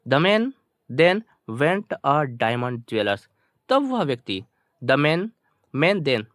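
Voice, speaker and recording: Male Indian tutor, Hindi native, lively swooping intonation, dry close sound, deliberate English reading with repeated explanations. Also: a little high, no echo. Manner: repetitive, slow word-by-word reading of English, colloquial explanations. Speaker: English teacher explaining a story. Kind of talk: bilingual lesson, reading English phrases then explaining them in Hindi.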